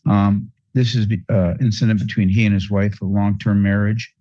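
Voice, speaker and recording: A man arguing as a defense attorney in court, halting and repeating his words as he goes. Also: on the low side, little pitch movement, dark tone, dry recording. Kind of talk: spontaneous courtroom argument. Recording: dull courtroom audio feed.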